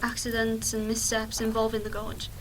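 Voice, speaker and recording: A woman's voice: on the high side, monotone, normal speed, close to an everyday voice. A woman playing a determined, matter-of-fact local researcher in a fan audio drama. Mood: grave, insistent. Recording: distant mic, clear background noise.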